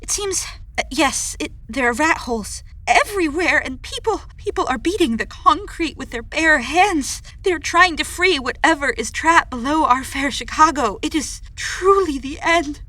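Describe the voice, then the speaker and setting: Woman acting a high-pitched, panicked news reporter. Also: animated, moderate pace, hardly any pauses, bright, forceful tone. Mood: panicked, despairing. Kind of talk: audio drama acting.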